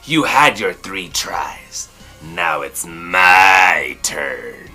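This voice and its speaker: A woman acts out a gruff male monster from a children's storybook. The voice is deep and growly, with a forceful edge and big swoops. He is grumpy and bossy, taking his time over each threat. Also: very animated, very slow, faint music behind, heavily acted. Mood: angry, bossy. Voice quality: growly, hissing.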